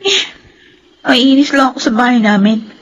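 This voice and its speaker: Spoiled rich daughter, very high voice, sulky, radio soap, some background noise. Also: female voice, lively intonation, normal speed, lo-fi sound. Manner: sulky, petulant, emphatic. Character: spoiled, resentful young daughter of a well-off family. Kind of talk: radio drama acting.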